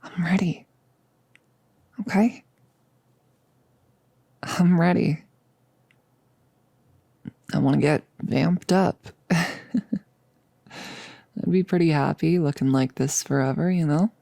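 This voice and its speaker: A performer playing a nervous, joking girlfriend in an intimate ASMR audio roleplay, speaking haltingly and confessionally, with lapses into whispering. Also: medium pitch, vocal fry, warm tone, no echo. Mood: nervous, resolute, then playful, tender. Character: nervous, joking girlfriend confiding before becoming a vampire.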